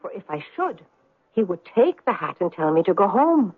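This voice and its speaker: An actress playing an earnest daughter who tells a wondrous tale in an old-time radio drama. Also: female voice, medium pitch, sing-song, normal speed, breathy edge, dry recording, phone-quality sound. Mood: wistful, hushed.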